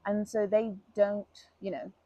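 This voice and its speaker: A woman voicing a kindly, chatty old grandma who rambles gently in a folksy, soothing way for an ASMR comfort roleplay. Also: mid-range voice, level tone, unhurried, close to an everyday voice. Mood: chatty, fond. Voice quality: creaky, breathy.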